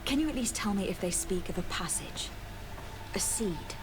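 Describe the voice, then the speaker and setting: A determined young-spirited diplomat and adventurer voiced by a woman in a video game. Her medium-pitched voice is courteous, formal and articulate, with clear ambient noise behind it. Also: lively intonation, normal speed, close to an everyday voice. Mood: curious. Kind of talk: video game character dialogue.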